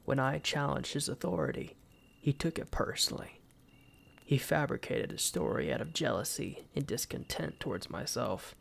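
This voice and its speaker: A man playing an embittered exiled knight in a fantasy audio drama, speaking formally and solemnly with a gravelly voice and dramatic pauses. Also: medium pitch, expressive, fairly bright tone, heavily acted. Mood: bitter, resigned. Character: embittered exiled knight, wounded pride, grieving for his mother. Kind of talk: first-person fantasy roleplay audio drama.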